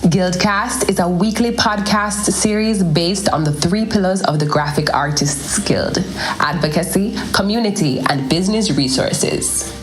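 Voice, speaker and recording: A woman reading a podcast announcement from a script in a clear, measured way. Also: on the low side, faint music behind. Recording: echoey room.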